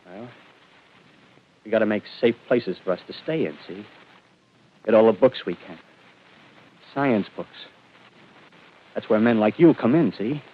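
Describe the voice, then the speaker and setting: A man's voice: medium pitch, close to an everyday voice. Guy playing a rambling wild-eyed militia survivor, old radio play, dull lo-fi sound, midrange voice. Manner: rambling, feverish, swings between hushed and loud. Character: desperate male ex-militiaman scheming to survive the invaders. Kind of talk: 1930s radio drama acting. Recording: dull lo-fi vintage radio broadcast.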